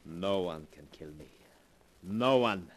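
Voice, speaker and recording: An actor in a 1940s radio drama playing the devil, a suave mocking man who purrs his lines slowly and chuckles as he gloats. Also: lively intonation. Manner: slow, purring, gloating, with low chuckles.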